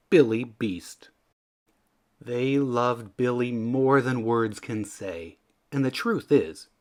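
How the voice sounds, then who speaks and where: Male read-aloud narrator for kids' books, mid-pitched, highly animated intonation. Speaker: children's story reader. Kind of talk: expressive scripted storybook narration.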